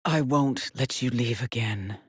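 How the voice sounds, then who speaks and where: Haunted low-voiced woman astronaut. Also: unhurried, husky, no room sound, clean studio sound, full character voice. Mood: haunted, brooding. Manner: terse clipped callouts and brooding, haunted murmurs. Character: weary, haunted woman astronaut stranded on alien planet. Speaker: video game voice actress. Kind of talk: scripted game character voice lines.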